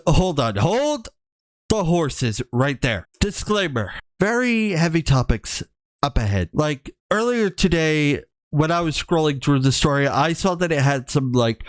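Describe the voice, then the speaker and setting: A man reading a horror story aloud in a casual, halting way, with wry joking asides. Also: a little high, no room sound.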